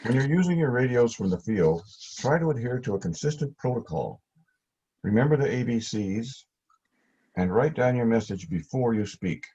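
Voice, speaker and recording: A male instructor with a mellow, husky voice reads prepared teaching material in a plain, measured manner. The delivery is instructive and straightforward. The recording is dull and lo-fi. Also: medium pitch, expressive. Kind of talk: scripted instructional narration. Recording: dull, lo-fi audio.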